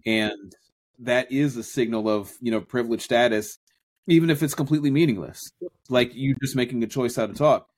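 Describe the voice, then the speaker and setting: A man's voice: medium pitch, darkish tone. A man co-hosting a podcast, talking through ideas in a casual, reflective way with frequent restarts. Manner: thoughtful, restarts often, drawn-out phrases. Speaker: podcast co-host. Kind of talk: casual discussion.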